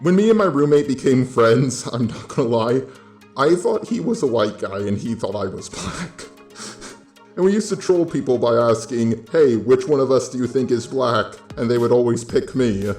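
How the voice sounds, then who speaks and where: American man, medium pitch, blunt, casual, sweary commentary, echoey recording, quiet music underneath. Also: lively intonation, moderate pace. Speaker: video commentator. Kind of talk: opinion commentary monologue.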